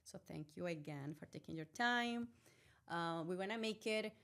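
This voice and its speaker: A woman's voice: on the low side, moderate pace, fairly bright tone. A woman hosting a wellbeing podcast, introducing her guest earnestly and asking long, heartfelt questions. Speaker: podcast host. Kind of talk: interview hosting.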